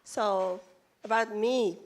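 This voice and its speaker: Japanese lady giving a talk, slow and halting, stops and restarts a lot. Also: mid-range voice, fairly even intonation. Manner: halting, careful non-native phrasing with restarts. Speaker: conference speaker. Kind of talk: presentation talk. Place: conference room.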